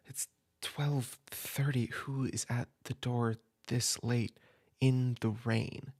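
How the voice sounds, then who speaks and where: A man playing a caring older brother who shelters a younger sibling, soft-spoken and reassuring, sometimes dropping to a whisper, in a comfort audio roleplay. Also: mid-range voice, level tone, slow. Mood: alarmed, welcoming. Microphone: home desk mic.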